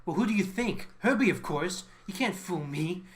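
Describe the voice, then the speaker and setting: A man's voice: high-pitched, expressive, normal speed. A man acting as an ambitious, resentful mathematician in a radio play. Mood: triumphant, combative. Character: ambitious, resentful male mathematician scientist eager for promotion.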